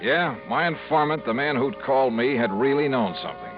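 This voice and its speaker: A man's voice: medium pitch, lively intonation, brisk, over loud music, close to an everyday voice. An actor playing a wisecracking insurance investigator in a 1950s radio drama, narrating his case with laconic, sarcastic quips. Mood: brisk. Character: wisecracking male insurance investigator, dry and sardonic. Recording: muffled old radio broadcast recording.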